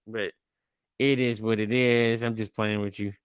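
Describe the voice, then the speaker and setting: Young guy hosting a podcast, loose slangy rambling, smooth clear voice, dry sound. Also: fairly low pitch, little pitch movement, a little slow, dry recording. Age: 20s. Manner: laid-back, slangy, rambling with restarts. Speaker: podcast host. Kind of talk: casual interview chat.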